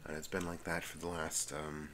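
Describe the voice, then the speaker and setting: A man hosting a casual, rambling ASMR video, slipping between vocal fry and whispering and often repeating his phrases. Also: extremely low pitch, level tone, normal speed, background noise. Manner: alternates hushed fry and whispering.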